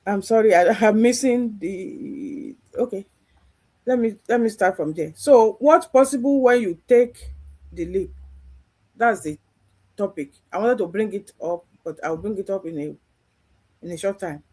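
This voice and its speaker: Husky-voiced woman from Nigeria. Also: mid-range voice, measured pace, mellow. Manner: earnest, repeats herself. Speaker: host. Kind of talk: live stream talk.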